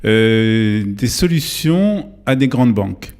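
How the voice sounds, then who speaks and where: A man hosting a studio interview in a halting, hesitant way. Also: lowish voice, lively intonation, a little mellow. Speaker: show host and interviewer. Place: broadcast studio.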